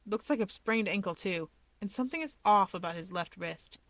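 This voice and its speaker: A woman reading a story aloud as narrator, with expressive scripted delivery. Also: medium pitch, brisk, no room sound.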